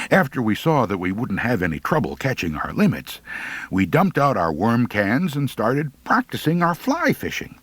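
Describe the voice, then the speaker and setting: An American man narrating a humorous first-person story in an expressive, folksy way. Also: medium pitch, no room sound. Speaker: audiobook narrator.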